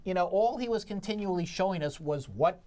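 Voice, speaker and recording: A woman co-hosting a casual theatre review, talking in a halting way and circling back to her points. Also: on the low side, expressive, mellow. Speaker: theatre critic, co-host.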